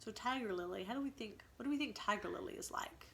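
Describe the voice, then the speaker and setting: Bright-voiced woman teacher reading aloud. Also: medium pitch, fast, creaky, a little hiss or hum. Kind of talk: storybook read-aloud with explanations.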